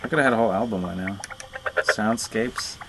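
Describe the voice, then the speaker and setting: A man co-hosting a podcast, cracking dry, deadpan jokes in casual banter. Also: fairly low pitch, a little fast, very noisy. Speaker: podcast co-host. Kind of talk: casual banter recording outtakes.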